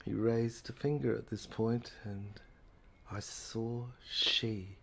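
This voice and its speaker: A woman narrating an audio play in an intimate, hushed way, halting phrase by phrase. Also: very deep voice, steady tone, vocal fry, breathy edge.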